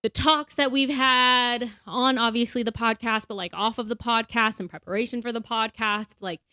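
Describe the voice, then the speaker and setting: A woman's voice: fairly high pitch, fairly even intonation, moderate pace, pushed and bright, no echo, studio quality. A young woman co-hosting a podcast, chatting in a bubbly, casual way with lots of fillers. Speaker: podcast co-host. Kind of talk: casual chat.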